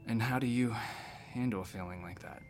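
An actor plays a male space-station doctor in an audio drama. He is self-deprecating and wry, rambling naturally in a mid-pitched voice. Soft music runs faintly underneath. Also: normal speed, natural voice. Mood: dry.

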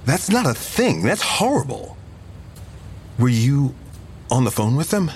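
An actor playing an affectionate young man, a boyfriend with a casual, gently teasing manner, in an audio drama. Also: medium pitch, sing-song, measured pace, a little hiss or hum. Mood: concerned. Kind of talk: audio drama dialogue, naturalistic.

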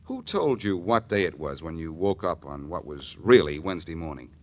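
An American actor playing a confident, needling amateur detective with an emphatic delivery in a 1940s radio drama. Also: male voice, sits low, lively intonation, moderate pace. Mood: explaining, insistent. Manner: emphatic, punchy, accusing delivery. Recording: muffled, noisy 1940s radio recording.

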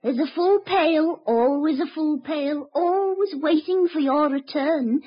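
A high-voiced, scheming coquettish wife. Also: female voice, measured pace. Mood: falsely sweet. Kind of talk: 1950s American radio drama acting. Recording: dull, lo-fi old radio recording.

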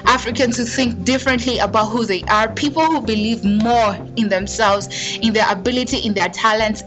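Young Kenyan woman, interview guest, earnest conversational telling, music bed underneath. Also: fairly high pitch. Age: young adult. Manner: earnest, conversational, uses fillers like "you know". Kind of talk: casual personal storytelling. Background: background music.

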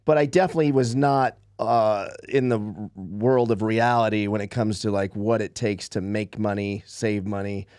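A man's voice: lowish voice, steady tone, moderate pace, few pauses, a little mellow, no room sound, studio quality. A man in his fifties, a guest on a podcast, casually telling stories about his past. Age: 50s. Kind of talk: casual storytelling.

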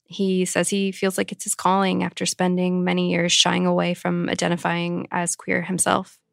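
A woman editor recounts interviews in a matter-of-fact tone. Her low voice is gravelly and stays flat. The recording is dry and close. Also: normal speed, dry recording. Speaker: editor and creative director. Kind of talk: podcast interview narration.

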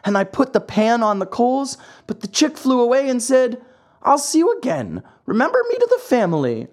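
A narrator voicing a whiny, excitable wooden puppet boy in a children's storybook, fussy and full of complaint. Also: medium pitch, normal speed, pitched above the performer's own voice. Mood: agitated, rambling. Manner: wailing, breathless childlike complaining. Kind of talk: children's storybook character acting.

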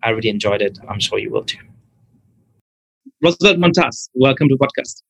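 A man hosting a podcast, introducing his guest and asking about his life in an earnest, measured way. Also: mid-range voice, animated, vocal fry, slightly rough, poor audio. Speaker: podcast host. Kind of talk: podcast interview.

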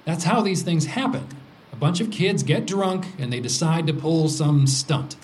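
A man's voice: a little high, normal speed, darkish tone, natural voice. An actor playing a brash, sarcastic, vindictive man in an audio drama support group. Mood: smug. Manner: sarcastic, provocative.

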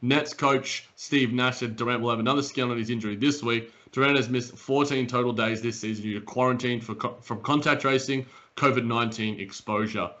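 A man from Australia co-hosting a casual sports podcast, rambling with animated restarts and a slightly raspy morning voice, over a dull remote recording. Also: mid-range voice, brisk, hardly any pauses. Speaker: podcast co-host.

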